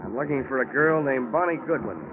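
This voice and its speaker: An actor playing a world-weary, wisecracking insurance investigator with a dry, wry delivery in a radio detective drama. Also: male voice, mid-range voice, expressive, brisk, natural voice. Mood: brisk, probing. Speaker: narrator and lead character. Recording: tinny vintage radio recording, music behind.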